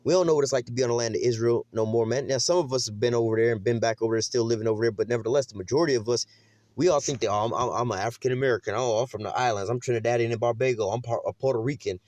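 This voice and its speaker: Male street preacher, fast slangy delivery, slows down to read verses, dry close sound. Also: mid-range voice, darkish tone, no room sound. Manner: casual slang, frequent "man" and "you know what I'm saying"; reads verses slowly, then explains them. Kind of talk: street-style scripture teaching.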